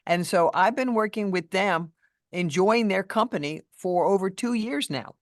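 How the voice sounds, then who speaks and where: Woman with a deeper voice. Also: dry recording, clean studio sound. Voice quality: deeper voice than many women. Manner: measured, thoughtful, with restarts and fillers. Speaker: podcast guest, voice coach. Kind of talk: casual interview conversation.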